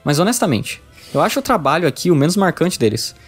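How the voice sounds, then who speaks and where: Quick Brazilian male reviewer over music. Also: a little high, very animated, husky. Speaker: video game reviewer. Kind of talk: scripted video review narration. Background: background music.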